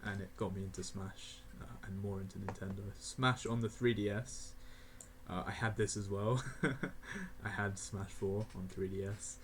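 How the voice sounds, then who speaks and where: A young man of eighteen talks loosely through his rankings, his voice sitting a little low, with frequent chuckles and filler words. A faint hum of the room sits behind him, with the odd mouse click. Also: fairly even intonation, normal speed. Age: young adult (18). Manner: rambling, laughing often, many fillers. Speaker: gaming video creator. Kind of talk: casual tier list commentary.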